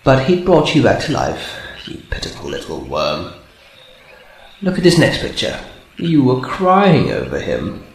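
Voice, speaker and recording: A man's voice: medium pitch, huge pitch swings, measured pace, gravelly, heavily acted. A man voicing a sadistic, taunting robot hunter in an amateur radio drama, needling with mock sweetness. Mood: scornful storytelling. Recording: roomy sound with faint noise.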